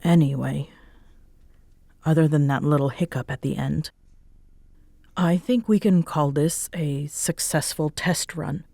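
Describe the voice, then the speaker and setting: A woman playing a prickly, guarded young roommate in an intimate roleplay audio drama, curt, halting and defensive in manner. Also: deep voice, vocal fry, mellow, dry recording, full character voice. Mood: stern, guarded.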